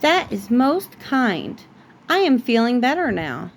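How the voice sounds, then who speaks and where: A woman's voice: on the high side, big pitch swings, takes it slowly, a little hiss or hum, exaggerated voice. Woman voicing a sly storybook wolf playing sweet granny. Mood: coaxing. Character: sly hungry male wolf posing as kindly grandmother.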